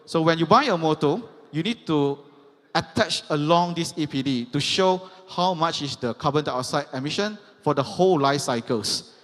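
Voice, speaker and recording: A man's voice: on the high side, moderate pace. A man giving a technical trade-show presentation, explaining step by step and checking in with "yeah" and "right". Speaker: conference presenter. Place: large exhibition hall. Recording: long hall reverb.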